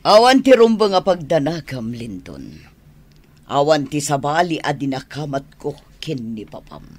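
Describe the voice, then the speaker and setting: A man's voice: a little high, very animated, unhurried, exaggerated voice. A man playing a sly, teasing associate in an Ilocano radio drama. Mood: sly, amused.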